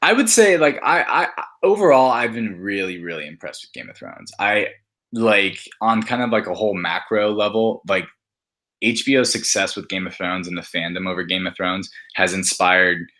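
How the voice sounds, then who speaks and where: A man with a very low voice and a bright, forceful edge chats as a podcast guest. He talks casually, in halting bursts, sprinkling "like" throughout. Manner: halting, peppered with "like". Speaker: podcast guest, app maker. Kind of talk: casual chat.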